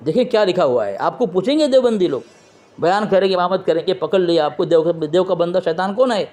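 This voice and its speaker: Man, high voice, religious debater, pressing questions, quoting texts, insistent. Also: expressive, brisk, a little mellow. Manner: quotes from books and scripture. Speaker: interviewer and debater. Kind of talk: religious debate on a phone call. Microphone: phone call.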